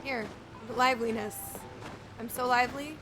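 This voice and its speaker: A woman's voice: fairly high pitch, fairly even intonation, normal speed, poor audio. A woman doing casual live commentary on a game, playfully talking to herself and to the characters. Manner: playful, talks to characters and to self. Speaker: game livestreamer. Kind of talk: casual live playthrough commentary, chatting back at the game's characters. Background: game music.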